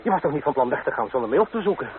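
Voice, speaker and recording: A man's voice: fairly high pitch, very animated, rapid-fire. An actor in a radio drama playing an ardent, devoted young man in love, speaking romantically. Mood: relieved, tender. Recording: tinny, noisy old radio recording.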